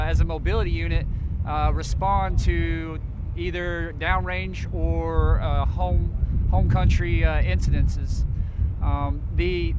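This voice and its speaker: A military senior sergeant giving an unscripted explanatory briefing to camera outdoors, in a halting way with repeated words. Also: male voice, high voice. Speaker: military air traffic control senior sergeant. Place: outdoors on an air base. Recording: noisy outdoor recording with vehicle ambience.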